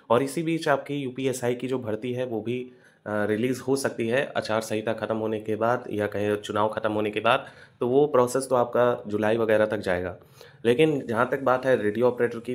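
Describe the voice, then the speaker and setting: A man hosting an informal explanatory news update, repeating himself and mixing English terms into his talk. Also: medium pitch. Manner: repetitive, Hindi mixed with English terms. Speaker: host of exam update videos.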